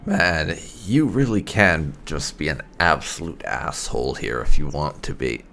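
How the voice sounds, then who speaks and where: A man's voice: rumbling low, expressive, a little slow, vocal fry, bright, forceful tone, a little hiss or hum. A man roleplaying through a video game, mixing sardonic in-character lines with casual commentary. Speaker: gaming video creator playing a roleplay character.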